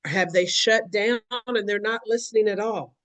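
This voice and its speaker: A woman's voice: medium pitch, steady tone, moderate pace, fairly bright tone. Woman, educator, earnest explanatory talk, acts out examples.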